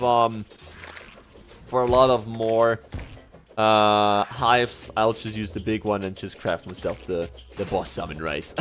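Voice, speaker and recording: A man's voice: mid-range voice, a bit bright. A young Swiss man, a German speaker, chatting casually while streaming a game, often laughing and correcting himself. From Switzerland. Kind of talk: casual gameplay livestream commentary.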